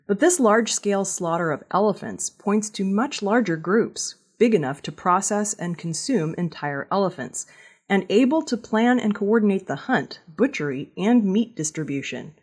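A woman reading scripted news narration as a newsreader, with a slightly husky voice. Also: medium pitch, a little mellow. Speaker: news reader.

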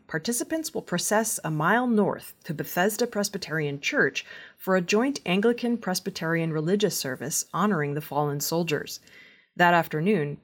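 Woman, slightly low husky voice, measured formal news narration. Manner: measured, formal newsreader delivery. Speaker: news presenter.

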